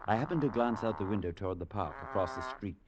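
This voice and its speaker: An actor playing a composed, skeptical priest who narrates and speaks in a vintage radio horror drama, measured and articulate. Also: male voice, lowish voice, expressive, crowd noise, lightly acted. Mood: grave, narrating. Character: composed, skeptical clergyman, Father, narrating a ghost tale. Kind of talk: old-time radio drama narration and dialogue. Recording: muffled vintage radio recording with music.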